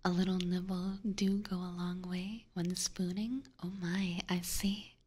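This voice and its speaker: Breathy whispering woman, slow ASMR chat. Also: fairly low pitch, level tone, darkish tone. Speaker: ASMR streamer and host. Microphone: close binaural ear microphone.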